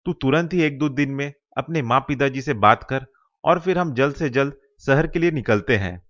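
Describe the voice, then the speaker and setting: Casting: male actor as ambitious young village man for a cartoon moral tale; earnest, persuasive, mid-pitched, slightly muffled lo-fi sound. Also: moderate pace, fairly bright tone, lightly acted. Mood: decisive. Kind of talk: Hindi cartoon moral-story voice acting.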